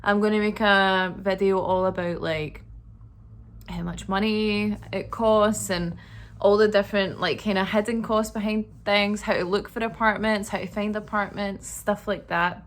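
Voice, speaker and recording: Woman, vlogger, monotone voice, casual filler-heavy chat, small apartment. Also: mid-range voice, measured pace. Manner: monotonous, flat delivery; relaxed, filler-heavy talk. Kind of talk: casual apartment tour vlog.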